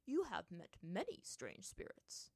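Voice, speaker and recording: An aged monkey monk spirit from a storybook, played by a woman, grumpy and aloof. He talks in curt, dismissive statements with a flat manner. The recording is dry and close, with no room around it. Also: on the low side, measured pace, vocal fry, dry recording, full character voice.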